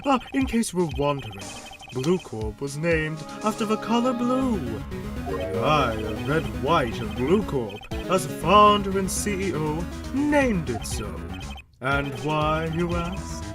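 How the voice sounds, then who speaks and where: A man's voice: fairly high pitch, very animated, slow, music in the background. A man acting a pompous, menacing corporate CEO villain in a video game fandub, with grandiose, condescending, theatrical speech. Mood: boastful. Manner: grandiose, theatrical, condescending, invented fancy words.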